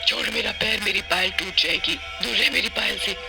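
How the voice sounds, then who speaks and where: A man's voice: sits high, moderate pace. Cartoon witch played by a male narrator, husband-snatcher, gloating and bossy, over background music. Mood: angry, commanding. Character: menacing female witch who snatches husbands.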